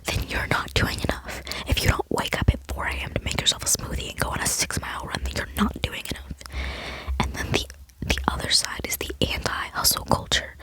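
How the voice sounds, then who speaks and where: A woman's voice: fairly quick, bright, forceful tone, low-quality recording. A woman in her late teens whispering an earnest, rambling ASMR chat into a close microphone. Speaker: ASMR vlogger.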